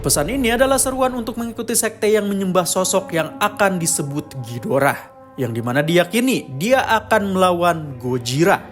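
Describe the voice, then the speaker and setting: A man narrating a scripted explainer of a horror story. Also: high voice. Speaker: narrator of a film lore channel.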